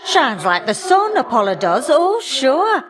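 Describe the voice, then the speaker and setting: A woman's voice: sits high, very animated, a little slow. A woman voicing an older goddess of the hearth in a video game, blunt, hot-tempered and affectionate, with a folksy, teasing, auntie-like way of talking. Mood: cheeky, teasing. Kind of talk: video game character dialogue.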